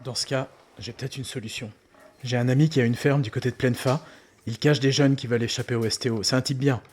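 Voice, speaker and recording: A man playing a grave village gendarme in an audio drama, giving urgent, measured warnings. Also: mid-range voice, fairly even intonation, darkish tone, slight background noise, close to an everyday voice. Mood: urgent, resolute. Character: grave village gendarme bringing bad news, loyal friend. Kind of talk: French audio drama acting.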